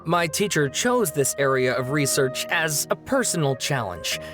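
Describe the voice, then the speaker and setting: Young scholar for a video game: lively pitch swings, earnest, a bit awkward, faint music behind. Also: female voice, moderate pace. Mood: earnest, explanatory. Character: earnest young student, slightly awkward, exasperated. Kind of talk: animated video game voice acting.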